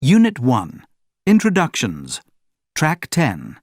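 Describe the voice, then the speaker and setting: A man announcing course audio tracks in a crisp, formal way. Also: medium pitch, huge pitch swings, takes it slowly, no room sound, clean studio sound.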